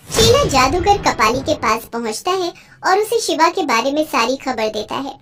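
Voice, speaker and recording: A very high-pitched storyteller narrates a children's cartoon fable. The delivery is scripted and highly animated, with some noise in the recording. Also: normal speed.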